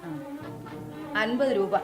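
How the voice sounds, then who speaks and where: An actress playing a back-room abortionist in a radio play, brisk and coaxing, reassuring her nervous client. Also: soft background music. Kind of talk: 1970s radio drama acting.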